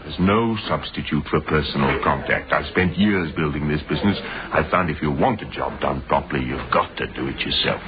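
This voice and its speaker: An actor playing a brisk, driven, impatient businessman who runs a frozen-food firm, in a radio drama. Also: very deep voice, music in the background. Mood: suave, businesslike. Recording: noisy, muffled old radio drama recording.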